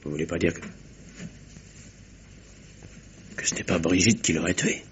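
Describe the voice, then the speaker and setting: An actor playing a weary murder suspect, bitter, faltering and fatalistic, in a French radio mystery. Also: male voice, low-pitched, very fast, close to an everyday voice. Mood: shocked, anguished. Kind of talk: French 1950s-style radio mystery acting. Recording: slightly noisy old radio recording.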